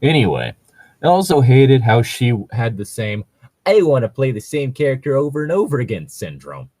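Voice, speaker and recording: A man's voice: medium pitch, big pitch swings, normal speed, a little mellow, no room sound. A man chatting casually as a co-host, rambling and jokey with a bit of swearing. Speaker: co-host reading and discussing tabletop gaming stories. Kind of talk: casual chat.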